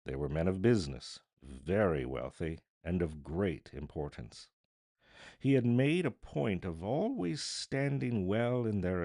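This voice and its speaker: A man reading a classic story aloud as a voice artist, with measured, theatrical and expressive delivery. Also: low-pitched, no echo, studio quality. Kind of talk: dramatic storybook narration of a Victorian classic.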